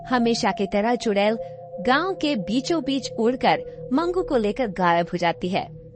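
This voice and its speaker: A woman narrates a scripted tale. Her voice sits a little high, with light music playing behind it. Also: animated, measured pace.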